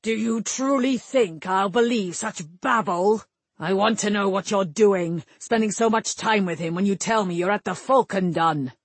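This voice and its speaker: Female narrator as hot-tempered ageing king, gruff and husky, commanding, rustic turns of phrase, fantasy audiobook. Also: mid-range voice, moderate pace, no room sound. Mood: scornful. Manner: rustic dialect words, blustering, commanding. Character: massive grey-haired warrior king, gruff, domineering, hot-tempered father.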